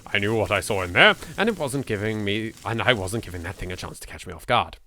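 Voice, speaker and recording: Bright, forceful Englishman playing a horror game. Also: fairly low pitch, animated, quick pace, a little hiss or hum. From England. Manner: sweary, jittery, sardonic asides. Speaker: video game let's player. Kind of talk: let's play commentary with dramatic read-aloud of game text.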